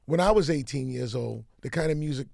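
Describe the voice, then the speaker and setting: A middle-aged man with a raspy voice, a music executive telling stories freely as a guest in a casual radio-studio interview. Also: mid-range voice, a little fast, dry recording. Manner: animated storyteller, talks freely.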